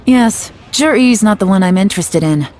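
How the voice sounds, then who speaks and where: A woman's voice: medium pitch, lively intonation, normal speed, noisy background, natural voice. A woman playing a shrewd, confident young female investigator in a video game, composed and wry. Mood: cool, wry.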